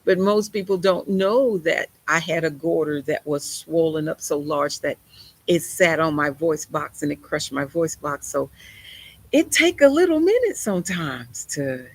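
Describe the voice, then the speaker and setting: A woman delivers a sermon with a husky, breathy edge to her voice. She preaches in an emphatic, conversational way, with folksy turns of phrase. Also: sits low, no echo, low-quality recording.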